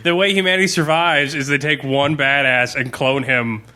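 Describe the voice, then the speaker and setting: A man's voice: medium pitch, fairly even intonation, normal speed, sharp tone. A man chatting casually as a podcast co-host, rambling with slangy, emphatic enthusiasm. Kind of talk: casual podcast chat about zombie fiction and comics.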